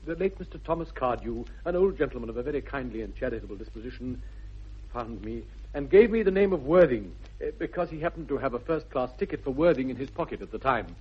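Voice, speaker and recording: An actor playing an earnest, flustered young gentleman suitor with an upper-class English accent, polite and formal, in a 1960s British radio comedy. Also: mid-range voice, lively intonation, fast, husky. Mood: polite, awkward. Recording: dull vintage radio recording.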